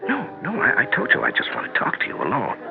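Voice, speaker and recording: A man's voice: medium pitch, wildly swooping pitch, fast, music in the background. An actor playing an earnest, exasperated friend in a 1970s radio drama, hesitant and pleading as he reassures. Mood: placating. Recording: tinny, narrow-band 1970s radio broadcast recording.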